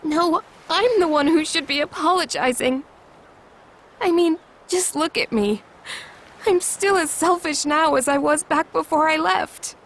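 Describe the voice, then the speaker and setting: Game role: earnest, self-doubting young woman, pitched high. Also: measured pace. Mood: apologetic. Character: remorseful, sincere young woman. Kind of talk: scripted video game voice acting.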